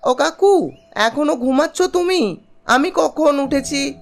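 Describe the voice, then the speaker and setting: A man's voice: very high-pitched, expressive, slow, gravelly, voice pushed up, full character voice. A man voicing a small boy who pesters his uncle in a pleading, coaxing tone.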